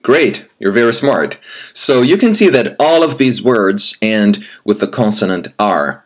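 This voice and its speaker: A man's voice: fairly low pitch, animated. A man in his thirties from Brazil, a native Portuguese speaker, presenting himself as a language teacher and giving a sample lesson to the viewer. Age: 30s. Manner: friendly, addresses the viewer directly, pauses with "um".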